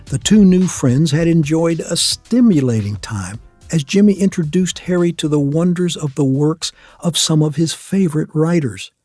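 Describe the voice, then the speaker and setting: Male narrator, husky, dark mellow tone, dry close sound, measured radio drama storytelling. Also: a little high, dry recording. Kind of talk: scripted radio play narration.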